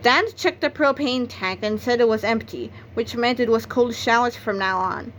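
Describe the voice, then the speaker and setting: A woman's voice: on the high side, moderate pace, fairly bright tone, a little hiss or hum, rough recording. A woman narrating a read-aloud of a children's comic novel, acting out its exclamations and sound effects. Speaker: book narrator.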